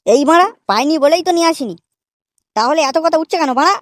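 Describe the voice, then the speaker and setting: High-voiced grumbling stingy cartoon husband played by a woman. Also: expressive, fairly quick, very noisy. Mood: defensive, annoyed. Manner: grumbling, crude swearing. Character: stingy, put-upon husband haggling and making excuses. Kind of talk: comic cartoon dialogue.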